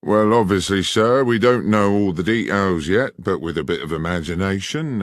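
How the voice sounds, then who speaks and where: A man's voice: on the low side, no echo, clean studio sound, pitched above the performer's own voice. A man reading aloud, voicing a smug, condescending country police sergeant. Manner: pompous, condescending. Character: smug middle-aged village police sergeant.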